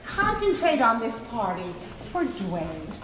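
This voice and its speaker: An actress playing a prim, kindly, gently fussy woman in a live stage play. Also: a little high, huge pitch swings, slow pace, exaggerated voice. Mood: firm, apologetic. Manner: gently scolding, polite, fussy. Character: prim, kindly woman organizing a prison party. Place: stage of a theater, live performance. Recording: echoey hall, faint noise.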